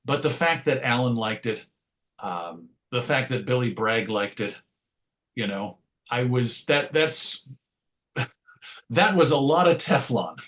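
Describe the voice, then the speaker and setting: A middle-aged man speaks as an interview guest, looking back in an easy conversational style. His delivery is wry and self-deprecating, with a medium-pitched voice. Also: animated, takes it slowly, long pauses, a bit bright. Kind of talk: conversational interview answers, reminiscing.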